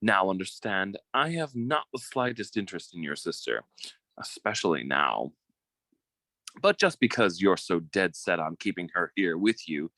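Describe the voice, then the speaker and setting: A theatrical con-man suitor played by a man, recorded close and dry. His pitch swoops widely as he schemes and bullies, and he is a coward underneath, prone to stammering when flustered, in old-time radio style. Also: bright, forceful tone, no room sound, full character voice.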